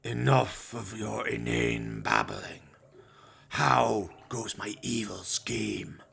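A man playing a menacing, pompous villain master in a horror radio play, speaking slowly with grandiose contempt. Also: fairly low pitch, expressive, creaky, a bit bright, husky, full character voice. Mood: menacing, impatient. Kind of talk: low-budget horror radio play acting.

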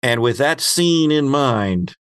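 A man hosting a tabletop horror game as game master, narrating scenes descriptively with halting pauses. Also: medium pitch, expressive, clear, resonant tone.

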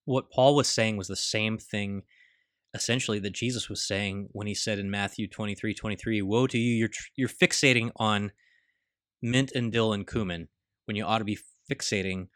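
A man's voice: on the low side, fairly even intonation, moderate pace, a bit bright, no echo, clean studio sound. A man co-hosting a podcast, chatting about theology with wry, conversational asides. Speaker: podcast co-host.

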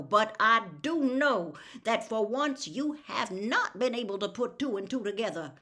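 A woman's voice: fairly high pitch, a bit bright, voice pushed up. A woman reading an audiobook, voicing a stubborn middle-aged male sheriff who talks bluntly in a drawling country way.

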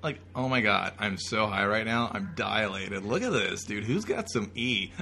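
A man's voice: fairly low pitch, lively intonation, moderate pace, vocal fry, sharp tone. A man co-hosting a casual comic riffing commentary, talking in a crude, slangy, mocking way.

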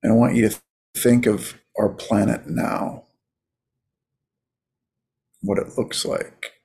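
A man leading a guided meditation as a coach, in a soothing, casual, unhurried manner. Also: on the low side, steady tone, vocal fry, mellow, breathy edge.

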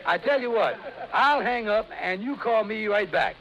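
A man's voice: sits high, expressive, normal speed, fairly bright tone. A man playing a flustered, evasive schemer in an old radio comedy, stammering in folksy, ungrammatical speech. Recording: dull, noisy vintage radio recording with clicks.

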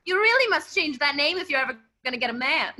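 A woman's voice: sits high, big pitch swings, quick pace, sharp tone, heavily acted. A woman improvising a blunt, haughty newspaper writer with a cutting manner in a comedy skit.

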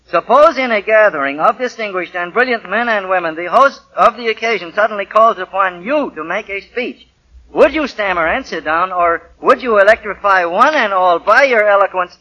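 Through a dull, lo-fi 1940s radio recording comes an eager young husband, high and bright in voice. He is gullible and boyish, full of schemes, and stammers when flustered in radio comedy fashion. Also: full character voice.

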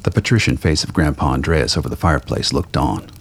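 A man reading scripted dramatic fiction as a narrator, with a gravelly, husky voice. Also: very deep voice, fast talker.

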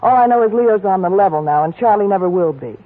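A glamorous, pleading woman, played by an actress, who coaxes in a husky, breathy voice. Her delivery is melodramatic, in 1940s radio style. The broadcast sounds thin and scratchy, like a tinny phone line. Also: mid-range voice, lively intonation, fast talker, natural voice. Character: glamorous woman, the detective's old flame, pleading.

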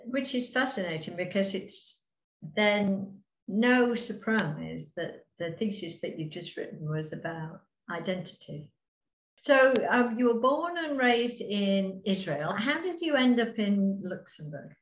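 A woman's voice: mid-range voice, big pitch swings, unhurried. A woman hosting a podcast interview in a chatty, friendly way. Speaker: podcast host and mentor. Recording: roomy, dull lo-fi sound.

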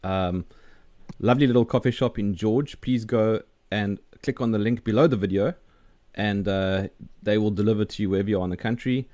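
A man's voice: fairly low pitch, fairly quick, mellow, dry recording. Casual South African man hosting. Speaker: show host interviewer. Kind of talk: casual video-call interview chat. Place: remote video call.

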